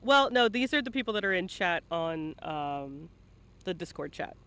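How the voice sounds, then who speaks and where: A man with a high, bright voice hosts a live-streamed interview. He speaks informally into a close mic, teasing and swearing as he goes in a playful, bantering style. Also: very animated, normal speed, clear, resonant tone. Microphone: close mic at a computer.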